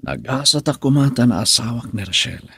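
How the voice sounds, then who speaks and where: An Ilocano actor playing a brooding, regretful husband who drinks in a radio drama, with a gravelly, husky voice. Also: male voice, mid-range voice, lively intonation, a little fast, a bit bright, no echo, studio quality, exaggerated voice. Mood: brooding, wistful. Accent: Filipino, Ilocano. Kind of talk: Ilocano radio drama acting with inner monologue.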